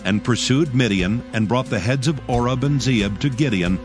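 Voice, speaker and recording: A man narrating dramatized scripture in a formal, measured storytelling manner. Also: mid-range voice, over music.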